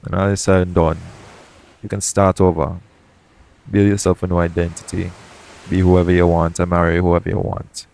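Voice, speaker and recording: A man playing a shady, jaded yet tender pirate captain in an intimate audio roleplay, talking colloquially and frankly, with gentle teasing. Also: deep voice, little pitch movement, normal speed. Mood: frank, resigned. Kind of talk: intimate audio roleplay acting addressed to the listener. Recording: clear background noise, ambient sound bed.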